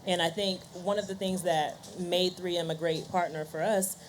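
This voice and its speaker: Slightly low-voiced woman, conversational panelist. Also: fairly even intonation, moderate pace, a little hiss or hum, poor audio. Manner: casual, ends points with "right?". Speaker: podcast host as panelist.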